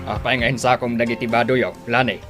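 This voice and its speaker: A man's voice: medium pitch, level tone, fairly quick, loud music behind the voice, close to an everyday voice. An actor with an Ilocano accent playing a cruel, hot-tempered, middle-aged father in a radio drama, scornful and taunting. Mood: mocking.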